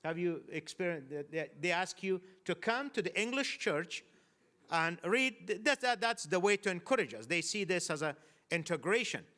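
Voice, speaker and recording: A man's voice: high-pitched, very animated, moderate pace, pushed and bright. A man from Afghanistan speaking as a panelist, thoughtful and hesitant, often restarting his sentences. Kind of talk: panel discussion.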